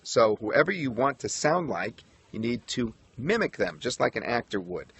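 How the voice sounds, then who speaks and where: A middle-aged man with a nasal voice and an American accent, giving relaxed, conversational broadcasting tips in a livestream tutorial. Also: on the low side, a little hiss or hum. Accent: General American, traces of New York. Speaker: broadcasting coach. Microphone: phone camera.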